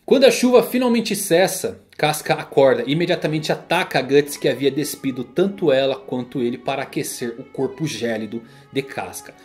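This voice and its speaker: A man's voice: fairly high pitch, darkish tone. A man with a Brazilian accent giving a scripted, solemn and theatrical narration of a story. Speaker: YouTube anime and manga narrator. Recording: music under the voice. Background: background music.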